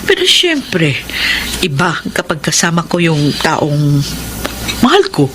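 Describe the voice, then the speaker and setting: A widowed Filipino mother in a radio drama. She speaks tenderly and earnestly, with halting, emotive phrasing. There is clear noise behind the voice. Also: sits low, wildly swooping pitch, a bit bright, exaggerated voice.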